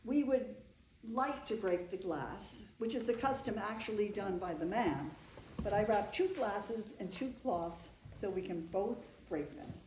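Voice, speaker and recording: Woman acting slow-spoken older bride, echoey room. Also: mid-range voice, darkish tone, a little hiss or hum, lightly acted. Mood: wry storytelling. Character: older bride, wry, frank, wistful storyteller. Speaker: actor. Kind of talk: staged play reading. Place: echoey room, live staged reading.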